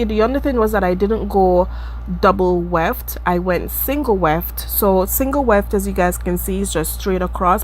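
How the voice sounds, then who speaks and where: A woman from the Caribbean with a stuffy, allergy-blocked nose chats casually through a wig-making tutorial, calling her viewers "guys" and laughing off her own skills. Her voice sits at a middle pitch. A faint hum runs under the recording. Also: a little mellow, rough recording. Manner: chatty, addresses viewers as guys, self-deprecating. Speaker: beauty vlogger.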